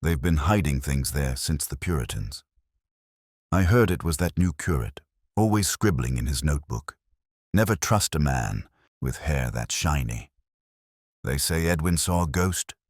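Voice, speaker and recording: Guy doing nosy suspicious churchgoer gossip for a mystery story, opinionated, husky deep voice. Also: animated, a little slow, no echo, clean studio sound, heavily acted. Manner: opinionated, colloquial.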